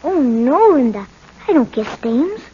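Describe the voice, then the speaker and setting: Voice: sits high, big pitch swings, measured pace. A performer playing a streetwise, plain-spoken young boy with slangy speech in a 1940s radio drama. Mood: bewildered. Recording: muffled, noisy old 1940s radio recording.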